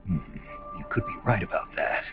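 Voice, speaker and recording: A man playing a gruff, weary, principled soldier in a video game, gravelly, terse and deadpan. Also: normal speed, close to an everyday voice. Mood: wry, restrained. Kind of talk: dramatic video game acting. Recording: muffled game audio under music. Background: loud music.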